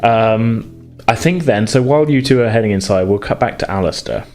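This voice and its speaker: A man's voice: on the low side, lively intonation, normal speed, a little mellow, soft background music. A man running a tabletop roleplaying game, improvising scene descriptions in an informal, hesitant way. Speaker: game master. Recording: faint noise and ambience behind.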